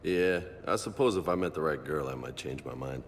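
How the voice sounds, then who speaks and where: A man's voice: a bass voice, expressive, brisk, slight background noise. A man voicing a brash, friendly young soldier and gym buff in a video game, cocky and slangy, with bits of Spanish. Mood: casual, sincere.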